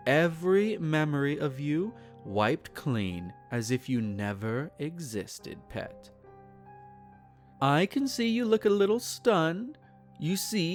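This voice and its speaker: Guy doing a possessive posh vampire lord for roleplay audio, slow n formal, swoopy pitch, soft music behind. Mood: coolly pleased, then smug. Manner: formal, measured, soothing and condescending. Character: possessive aristocratic male vampire, silky, doting yet menacing. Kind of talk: roleplay audio drama, intimate monologue to the listener.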